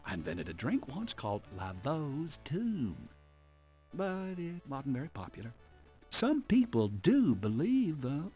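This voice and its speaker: A chatty, easygoing bartender in an adventure game, with a mid-range voice. His speech is very animated and swoops up and down. Soft music plays behind him. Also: on the high side, measured pace.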